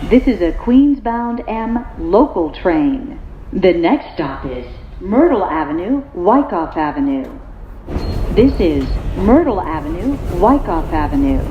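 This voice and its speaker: A woman reading scripted automated subway announcements in measured, segmented phrases over a train's speakers. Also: lowish voice, big pitch swings. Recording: echoey muffled train PA, loud vehicle noise.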